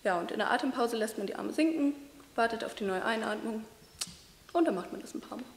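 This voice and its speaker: A young woman, a voice training student, explaining and demonstrating breathing and voice exercises in a large bright hall, pausing now and then with fillers. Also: fairly high pitch, expressive. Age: young adult. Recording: echoey hall.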